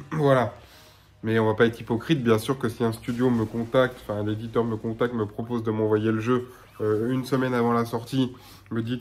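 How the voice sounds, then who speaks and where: A man in his thirties with a blocked nose and slightly hoarse voice, chatting casually to his webcam at home as a gaming YouTuber, rambling with lots of filler sounds. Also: mid-range voice. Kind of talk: casual to-camera chat. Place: small room at home.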